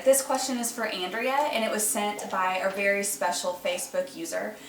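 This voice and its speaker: A woman's voice: mid-range voice, normal speed. A woman, hosting a casual Q&A with her siblings and laughing often. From Texas. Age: 30s. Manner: laughs often, chatty and giggly. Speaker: host. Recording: echoey room, mic at a distance, faint noise.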